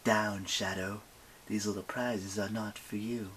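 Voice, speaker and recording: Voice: low voice, steady tone, unhurried, a bit bright, a little hiss or hum, rough recording. A narrator voicing a huge, ancient night goddess, menacing and imperious, speaking in a commanding, formal way for an audiobook story.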